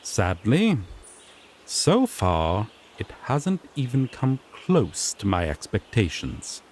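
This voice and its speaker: A man's voice: mid-range voice, huge pitch swings, takes it slowly, darkish tone, slight background noise. A man playing a sassy, exasperated griffin knight in a fantasy audio roleplay, pompous one moment and sardonically grumbling the next. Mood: disappointed, grumbling. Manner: pompous proclamations slipping into flustered, sardonic grumbling.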